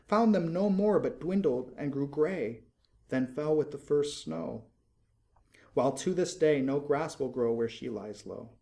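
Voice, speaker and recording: A man's voice: on the high side, creaky, warm tone. A man voicing an anxious, cautious young maiden who scolds and warns with urgency in verse storytelling. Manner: urgent, admonishing.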